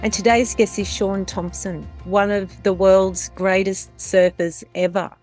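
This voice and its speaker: A woman's voice: mid-range voice, flat delivery, measured pace, a little mellow, soft background music, low-quality recording. A woman hosting an interview podcast who asks long, admiring questions with some hesitations. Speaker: podcast host. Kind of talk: interview host.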